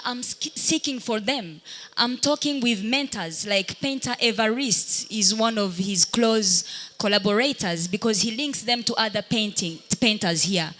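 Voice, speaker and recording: Woman speaking with halting reflection. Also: fairly high pitch, fairly bright tone. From Burundi. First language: Kirundi. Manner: halting and reflective, pausing to search for words. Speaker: dancer and panelist. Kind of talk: live panel discussion answering audience questions.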